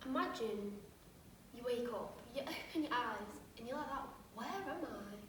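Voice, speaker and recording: A woman's voice: a little high, animated, moderate pace, a bit bright, a little hiss or hum. A woman playing a shaken young woman in a cell. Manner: vivid storytelling, acting out the voices of others. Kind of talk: stage monologue. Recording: echoey room from far off.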